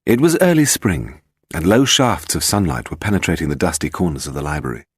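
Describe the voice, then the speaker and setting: A British man narrates fiction in a low voice with plenty of melodic movement. The reading is scripted storytelling, recorded close and dry. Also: wildly swooping pitch, fairly quick, slightly rough, no room sound. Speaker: audiobook narrator.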